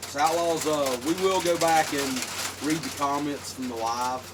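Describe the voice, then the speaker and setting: Laid-back Southern host, far from phone. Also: male voice, a little high, fairly even intonation, normal speed. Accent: Appalachian Southern. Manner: laid-back, rambling with fillers. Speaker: show host. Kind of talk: casual chat while drinking on a porch. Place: outdoor porch. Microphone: phone some distance away. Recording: distant phone mic, noisy outdoors.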